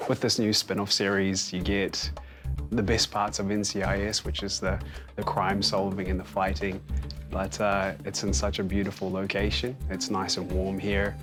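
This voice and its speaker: A man with a New Zealand accent, an actor talking in a relaxed, slightly hesitant way. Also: low voice, moderate pace, music in the background. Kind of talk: featurette interview.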